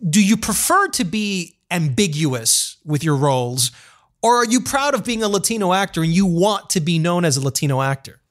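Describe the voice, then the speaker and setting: Male host, slightly high, animated, quick to laugh, curious interviewer. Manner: curious, laughs often, lively questions. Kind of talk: interview conversation.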